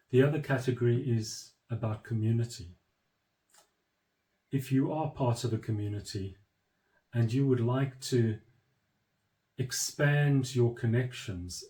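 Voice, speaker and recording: A man with a mellow, warm voice speaks in an earnest, unhurried way, breaking his thoughts into short phrases. He sounds steady and firm, like a leader explaining what is expected of newcomers. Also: medium pitch. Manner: measured, pausing between phrases, firm. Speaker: church leader. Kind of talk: earnest informational address.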